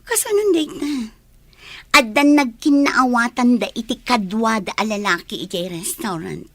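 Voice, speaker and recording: High, haughty rich young woman. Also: expressive, a little slow, dry recording. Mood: pleading. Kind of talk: Ilocano radio drama acting. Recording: loud music bed behind voice.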